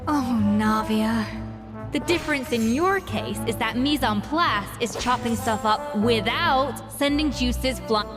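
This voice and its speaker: A self-assured young female head chef from a video game, crisp and exacting. The voice is fairly high and composed, with long reverb and some music behind it. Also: takes it slowly. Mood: brisk.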